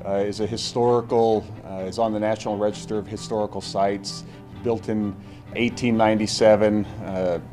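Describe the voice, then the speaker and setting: Male interviewee, club spokesman. Low, dark tone, formal but hesitant, faint music underneath. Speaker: club representative. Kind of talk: interview explanation.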